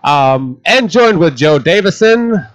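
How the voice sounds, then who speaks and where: A man's voice: fairly high pitch, lively intonation, slow pace. A man from Florida hosting a casual podcast, laughing often and swearing freely. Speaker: podcast host.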